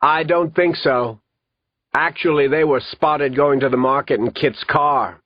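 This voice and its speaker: A man voicing a calm, menacing villain doctor. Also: a little high, a little slow, no room sound, lightly acted. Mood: cold menace. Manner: calm, authoritative, threatening. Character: menacing male villain doctor, cold and commanding. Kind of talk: audiobook character reading. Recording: lo-fi audio with faint music.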